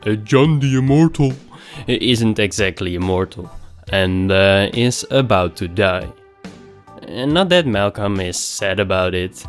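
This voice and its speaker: A young man rambling casually through a game review, nasal from a recent cold, swearing offhandedly. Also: on the low side.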